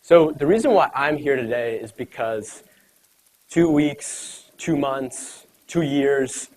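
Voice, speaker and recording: Young guy giving a motivational talk, chatty, lots of "you know"s, mid-pitched voice. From United States. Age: 20s. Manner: casual, conversational, many fillers and "you know". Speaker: speaker at an event. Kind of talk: motivational talk to a live audience.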